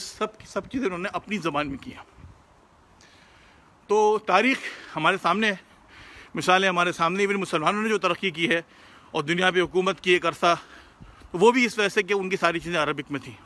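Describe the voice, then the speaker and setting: Bright high-voiced Pakistani man talking outdoors. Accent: Pakistani Urdu. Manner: earnest, lecturing, sprinkled with English words. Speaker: host answering viewer questions. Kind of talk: casual live-stream talk. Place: outdoors, driving past shops.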